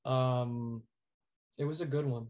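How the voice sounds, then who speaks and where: A man's voice: mid-range voice, steady tone, slow, lo-fi sound. An American man telling stories as an interview guest, earnest and emphatic, often repeating words as he goes. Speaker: guest, sports arena announcer. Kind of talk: conversational interview storytelling.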